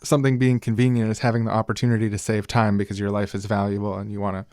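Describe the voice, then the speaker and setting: A man's voice: fairly low pitch, little pitch movement, racing, darkish tone, no echo. Explanatory male podcast host, dry sound. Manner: explanatory, articulate with informal asides. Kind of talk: casual discussion.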